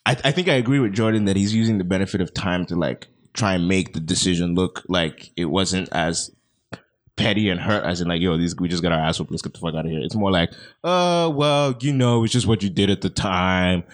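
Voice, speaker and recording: Young man, casual podcast talk, lowish voice, lots of um and laughter, rambling. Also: a little fast. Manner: rambling, laughs often, hedging fillers. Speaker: podcast co-host. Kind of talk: casual chat.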